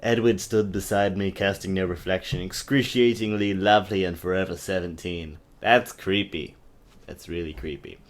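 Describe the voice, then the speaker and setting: A man's voice: deep voice, fairly even intonation, normal speed. Sarcastic man doing a mock-dramatic book reading. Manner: theatrical, sarcastic, exaggerated. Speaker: amateur reader and comic commentator. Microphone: webcam. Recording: choppy amateur webcam recording.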